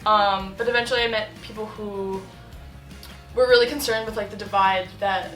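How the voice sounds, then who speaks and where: A woman's voice: medium pitch, fairly even intonation, moderate pace, a bit bright. A young woman talking casually in an interview, chatty with many fillers and laughs. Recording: roomy echo with faint music.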